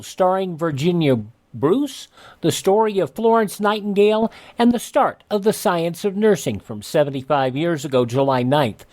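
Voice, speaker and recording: A woman's voice: lowish voice, darkish tone. A woman reading a scripted broadcast announcement as a radio programme announcer.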